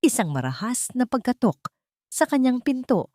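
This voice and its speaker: A woman's voice: fairly high pitch, sing-song, moderate pace, darkish tone, dry recording, clean studio sound. A woman reading a scripted, dramatic story as its narrator.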